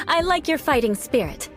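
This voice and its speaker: A woman's voice: high-pitched, a bit bright, heavily acted. A woman voicing a proud young knight in a video game, haughty and theatrically vengeful with a teasing streak. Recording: game audio with music behind.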